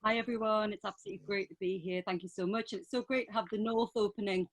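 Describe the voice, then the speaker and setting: A woman from North East England with a Geordie accent, giving a brisk, animated talk as a panelist over a video call. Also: medium pitch. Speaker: panelist from a women's centre.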